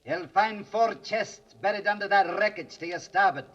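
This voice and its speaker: An actor playing a sly old ghostly seafarer in 1940s radio style, gloating over treasure in archaic sailor talk. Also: male voice, high voice, moderate pace, fairly bright tone. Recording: dull old radio drama recording, music behind.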